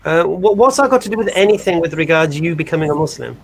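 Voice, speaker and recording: Blunt, fast-talking debate panelist, man with a slightly raised voice. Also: fairly high pitch, lively intonation, gravelly, slight background noise. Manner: blunt, pressing. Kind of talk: live debate. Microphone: video call.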